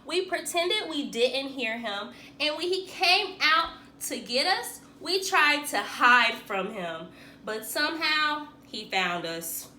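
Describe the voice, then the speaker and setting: High, animated woman reading a children's story. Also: takes it slowly, slight background noise. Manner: theatrical, acts out the dialogue. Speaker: teacher reading a picture book aloud. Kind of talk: expressive children's read-aloud.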